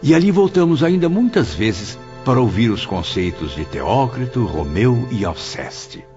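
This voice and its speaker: A man's voice: medium pitch, big pitch swings, a little slow. A man playing an earnest, respectful spirit pupil in a dramatised audiobook, speaking in a formal, literary way. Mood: reflective. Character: earnest male spirit pupil recounting his memoirs, respectful. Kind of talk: dramatised audiobook narration and dialogue. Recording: muffled audio with music behind.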